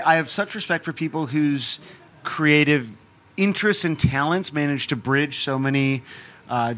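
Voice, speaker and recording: A man's voice: fairly high pitch, sharp tone. A man hosting a live stream with dry, self-deprecating banter toward his co-host.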